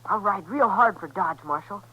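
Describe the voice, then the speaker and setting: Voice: mid-range voice, normal speed, sharp tone, husky, highs cut off. A performer playing an earnest, stubborn young boy in a 1950s radio Western. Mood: eager. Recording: noisy vintage 1950s radio recording.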